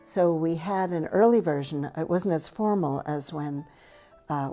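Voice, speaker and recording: An older woman with a low, warm, mellow voice, reminiscing in a measured interview style. Soft music plays behind her. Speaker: theatre founder. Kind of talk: documentary interview, recollecting.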